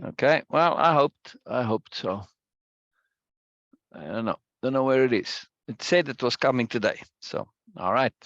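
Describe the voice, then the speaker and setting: A man's voice: mid-range voice, lively intonation, unhurried, a bit bright, no echo. Chatty man on a dull video call. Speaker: meeting host. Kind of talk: casual video-call chat.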